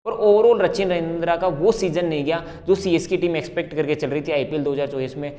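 A man's voice: a little high, quick pace, dark tone. A young man giving emphatic, conversational sports analysis to camera as a cricket presenter. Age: young adult. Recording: long reverberant hall-like echo.

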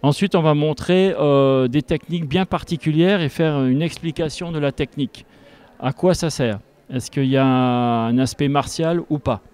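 A man in his fifties speaking as an instructor in an interview, explaining step by step and haltingly into a handheld microphone. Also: on the high side, a little mellow, clean tone. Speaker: tai chi instructor. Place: large school gymnasium with a group behind. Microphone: handheld reporter microphone.

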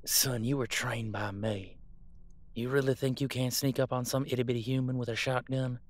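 A man playing a gruff, scornful veteran monster hunter in an audio roleplay, folksy and bossy. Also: mid-range voice, flat delivery, moderate pace, lightly acted. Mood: scheming, scornful.

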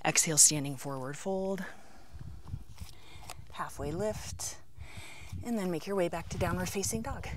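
Lower-pitched woman leading yoga. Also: noisy background. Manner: measured step-by-step cueing in short phrases with pauses. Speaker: yoga instructor. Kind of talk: guided yoga class instruction, calling out poses and breaths. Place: outdoors on an open rocky canyon rim.

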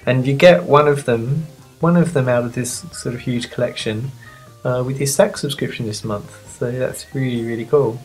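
A sniffly magazine reviewer of medium-pitched voice chatting casually off the cuff, rambling, playful and self-deprecating. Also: normal speed, a little mellow, husky, soft background music, poor audio. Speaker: magazine reviewer and video host.

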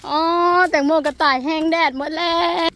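A high-pitched woman with a northeastern Thai accent hosts a casual rural vlog, chatting freely and often addressing her viewers. The recording is noisy and outdoors, with chickens clucking behind her. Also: little pitch movement, normal speed, fairly bright tone. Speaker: vlog host. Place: outdoors at a rural home and garden.

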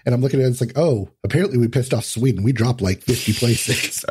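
A man chatting casually as a guest on a podcast, with a husky voice. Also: mid-range voice, a little fast, a little mellow, a little hiss or hum.

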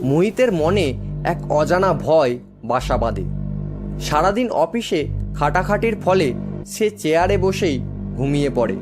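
A man's voice: fairly high pitch, lively intonation, measured pace, pauses often, music in the background. A man giving scripted narration of a horror story as its storyteller.

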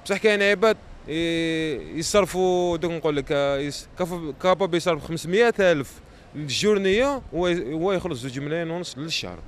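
Fast, repetitive young Algerian man. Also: fairly high pitch. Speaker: passer-by being interviewed. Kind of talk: casual street interview. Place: outdoors on a busy city street. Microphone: handheld microphone. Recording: faint street noise.

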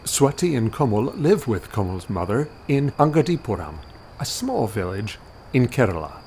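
A man's voice: mid-range voice, big pitch swings, moderate pace, background noise. A man narrating an audio drama as a scripted story.

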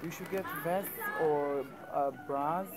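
A mother chatting in a clothing store, pausing with ums while she thinks of what to buy. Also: sits low, a little mellow. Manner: hesitant, pauses with um while choosing items. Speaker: mother shopping with her daughter. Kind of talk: casual family chat. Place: large clothing store, indoors.

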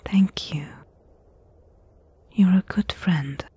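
A woman playing a cautious adventurer in a soft-spoken ASMR roleplay, breathy, hushed and hesitant, speaking intimately. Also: fairly low pitch, drawn-out words, no echo, full character voice. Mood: nervous, gentle. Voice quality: breathy, whispery. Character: cautious female adventurer exploring an ancient desert temple.

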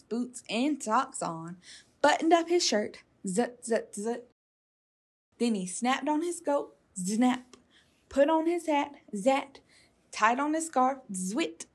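Woman storytime reader, high voice, unhurried, playful emphasis, expressive children's read-aloud. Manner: emphatic, playful sound words.

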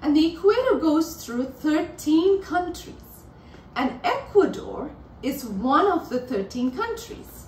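High-voiced lady teaching little kids, slow and bouncy, sounds far off in an echoey room. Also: very animated, a little hiss or hum. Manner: gentle teacherly, pointing things out. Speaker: children's author and circle time host. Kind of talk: children's lesson, slow and clear. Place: living room with plants. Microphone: far camera. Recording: roomy echo, from far off.

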